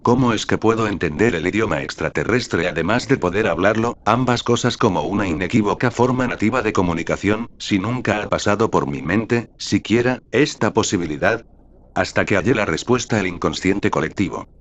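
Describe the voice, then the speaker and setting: A man hosting his own channel, reading a first-person essay aloud with formal, deliberate, literary phrasing. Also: fairly low pitch, slight background noise. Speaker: channel host and author.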